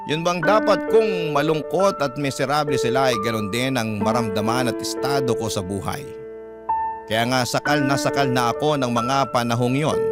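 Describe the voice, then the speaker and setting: A male radio storyteller reads a personal letter with dramatic delivery. His voice is mid-pitched, and loud music plays underneath it.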